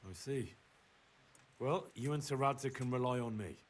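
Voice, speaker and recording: A man's voice: mid-range voice, moderate pace, natural voice. An actor playing a dutiful, inquisitive young medieval man-at-arms in a video game, plain-spoken and deferential. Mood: earnest, curious. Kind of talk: scripted video game dialogue. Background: music.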